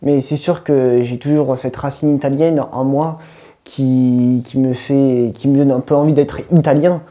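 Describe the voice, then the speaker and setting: A man born in France, speaking calmly and sincerely in a documentary interview. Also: mid-range voice, a little slow. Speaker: interviewee, son of immigrants.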